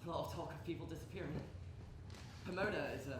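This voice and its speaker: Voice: medium pitch, fast, natural voice. A young actor playing an eccentric, evasive underworld landlord in a live stage play, rambling and repeating with erratic emphasis. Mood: conspiratorial. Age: young adult. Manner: rambling, repetitive, erratic emphasis with sudden shouts. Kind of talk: live theatre monologue and dialogue. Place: echoey indoor performance space. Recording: roomy live stage recording with noise.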